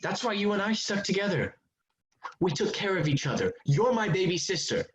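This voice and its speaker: An actor in a staged drama reading, playing an intense, menacing older brother back from war who shifts between pleading and accusing. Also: male voice, high-pitched, big pitch swings, moderate pace. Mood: pleading, tender. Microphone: video call. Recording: muffled, noisy video call audio with clicks.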